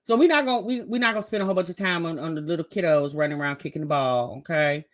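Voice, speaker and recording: A woman's voice: low voice, very fast. An American woman giving casual, gossipy reaction commentary in a sassy, conversational way. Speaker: host reacting to a family vlog.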